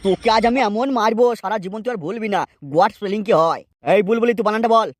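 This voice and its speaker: A man's voice: sits high, racing. An actor voicing a strict, hot-tempered male schoolteacher in a cartoon, scolding and threatening his pupils. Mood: angry. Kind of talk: cartoon voice acting.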